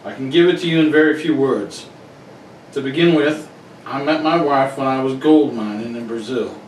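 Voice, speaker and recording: A man's voice: mid-range voice, moderate pace, lightly acted. A man playing a proud, defensive wealthy husband with a blunt, formal manner in a radio play. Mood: guarded. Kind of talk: amateur radio-play acting. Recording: roomy, distant recording with faint noise.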